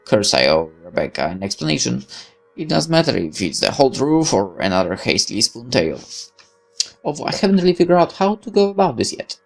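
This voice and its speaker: Guy narrating a visual novel playthrough, mid pitch, wry and measured, soft music under him. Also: sing-song, vocal fry. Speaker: gaming video narrator.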